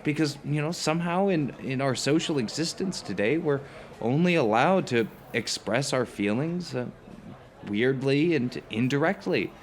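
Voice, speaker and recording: A man plays a neurotic, hesitant actor chatting over dinner in an audio drama. His voice sits at a middle pitch, and he keeps stammering, hedging and starting over as he talks. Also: animated, moderate pace, natural voice. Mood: nervous grievance. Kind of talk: conversational audio drama dialogue.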